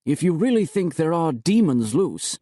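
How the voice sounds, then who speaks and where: A man voicing a strained, anxious high priest. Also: very animated, moderate pace, no echo, studio quality. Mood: tense. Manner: strained, higher and louder than narration.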